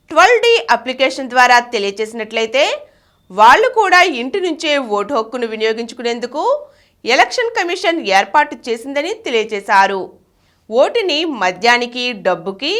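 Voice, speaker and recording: A woman's voice: high-pitched, a bit bright. A woman reading scripted news reports. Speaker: news reader.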